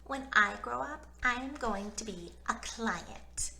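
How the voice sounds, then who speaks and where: A woman's voice: a little high, animated, slow pace, vocal fry, sharp tone, slight background noise, heavily acted. A woman voicing a vain, showy little schoolgirl in a storybook, preening and self-satisfied. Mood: smug.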